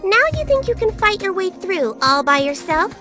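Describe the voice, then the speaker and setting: A woman's voice: very high-pitched, big pitch swings, moderate pace. A woman voicing a tiny, cheeky fairy companion in a video game, blunt and flippant with breezy, teasing delivery. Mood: brisk, challenging. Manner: breezy, teasing, casual wording with sharp mood swings. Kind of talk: video game cutscene voice acting. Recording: music behind the voice.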